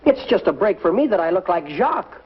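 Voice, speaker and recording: A man's voice: high-pitched, very animated, a little fast, breathy edge, exaggerated voice. A young man playing a hesitant, bumbling everyman in a sitcom. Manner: hesitant, bumbling, lots of uhs. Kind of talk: 1950s sitcom acting. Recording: lo-fi vintage TV audio, noisy.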